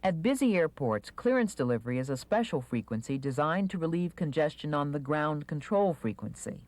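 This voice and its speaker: A narrator reading a scripted instructional video in a measured, clearly enunciated manner. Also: mid-range voice, sing-song, a little mellow, no echo.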